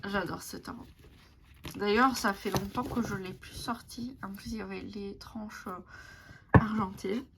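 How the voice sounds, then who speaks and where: Woman, Italian native, card-deck vlogger, halting and filler-heavy, mid-pitched voice, audible background noise. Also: fairly even intonation, creaky. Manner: hesitant, many fillers and restarts. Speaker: tarot and oracle deck reviewer. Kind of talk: casual show-and-tell vlog.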